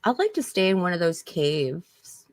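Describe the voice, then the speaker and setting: Lively woman in a dry casual chat. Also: on the low side, measured pace, no echo. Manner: easygoing and friendly, with short reactions.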